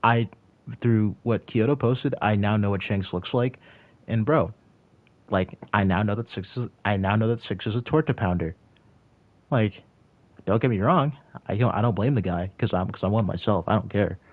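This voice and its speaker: A man's voice: on the low side, moderate pace, dry recording. A man of about twenty chatting casually on a livestream and answering his chat, rambling with lots of "like" and restarts. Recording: tinny phone-like audio.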